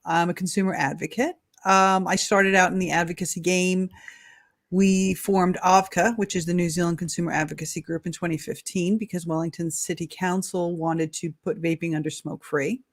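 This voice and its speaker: A woman's voice: on the low side, level tone, no echo, studio quality. Long Island woman in her fifties. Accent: Long Island New York. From New York, USA. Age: 50s. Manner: chatty, blunt, quick to laugh. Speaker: guest, consumer advocate. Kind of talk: casual podcast interview.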